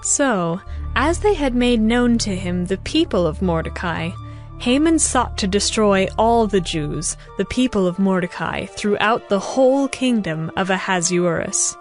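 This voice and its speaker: Measured woman narrator over soft music. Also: medium pitch, animated. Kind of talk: scripted dramatized scripture narration.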